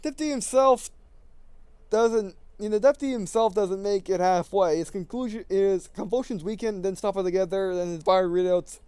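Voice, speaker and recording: A man's voice: sits high, normal speed, a little mellow, clean tone, dry recording. A man hosting a gaming video, reading visual novel text aloud with casual commentary and often stumbling and rereading phrases.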